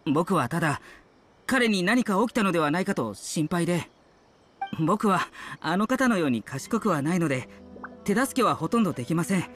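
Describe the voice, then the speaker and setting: A man's voice: high voice, big pitch swings, normal speed, a bit bright, faint music behind, heavily acted. A man voicing a humble, loyal young servant in a video game, anxious and very polite, with an apologetic, hesitant manner. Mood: worried. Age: young adult. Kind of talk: video game character acting.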